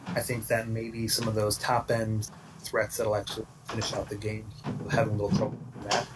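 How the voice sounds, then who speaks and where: A man with a fairly low voice casually analyses a card game match as it happens. He sounds distant from the microphone, and there is clear noise with some clicking behind him. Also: monotone, brisk. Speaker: esports card game commentator. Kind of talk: casual live analysis commentary.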